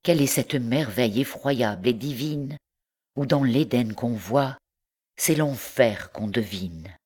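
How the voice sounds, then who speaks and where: A woman reciting literary poetry in measured, deliberate phrases broken by pauses. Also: very deep voice, darkish tone, breathy edge. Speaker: poetry reader.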